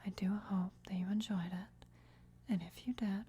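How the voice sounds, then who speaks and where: A woman speaking very quietly and whispering to viewers as an ASMR video creator. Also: medium pitch, fairly even intonation, moderate pace, muffled recording, slight background noise. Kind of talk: soft ASMR outro to viewers.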